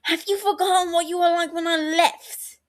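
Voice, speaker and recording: A woman voicing a defiant, exasperated runaway elder brother in an audio story, forceful, indignant and defensive. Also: extremely high pitch, steady tone, moderate pace, voice pushed up. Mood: accusing.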